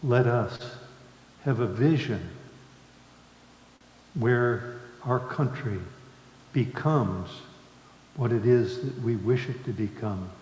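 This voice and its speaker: A man leading worship in a solemn sermon style, speaking deliberately in short phrases with long pauses. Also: medium pitch, a little mellow. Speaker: worship leader. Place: large congregation hall. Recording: echoey reverberant hall with background noise.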